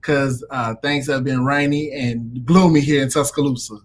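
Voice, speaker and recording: A man appearing as a guest on an online show, answering casually and speaking warmly of his college over a video call. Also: mid-range voice, fairly even intonation, moderate pace, slight background noise. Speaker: guest, college development director. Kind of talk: casual interview chat.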